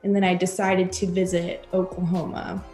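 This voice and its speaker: A woman's voice: lowish voice, little pitch movement, normal speed, a little mellow, roomy sound, soft background music. A young woman who grew up in South America, a podcast co-host guest, chattily telling stories. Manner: storytelling, quotes her family, chatty. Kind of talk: casual interview chat.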